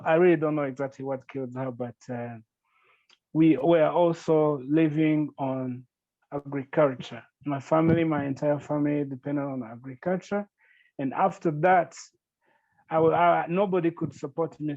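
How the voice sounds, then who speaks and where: A man's voice: fairly high pitch, darkish tone, no echo. Earnest man on a lo-fi call. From Rwanda. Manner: earnest, grateful, with halting restarts. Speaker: guest. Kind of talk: podcast interview.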